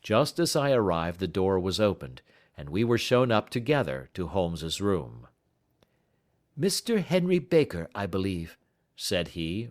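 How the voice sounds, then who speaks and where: A man reading a literary story aloud as scripted audiobook narration. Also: sits low. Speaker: audiobook reader.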